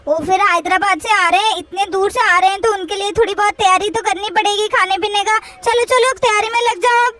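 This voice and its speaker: An anxious, affectionate Indian-family-drama mother, acted out by a woman storyteller, fussing over her daughters. The voice is extremely high and bright, full of exclamations in a play-acted story. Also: steady tone, brisk, few pauses, slight background noise. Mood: hurrying.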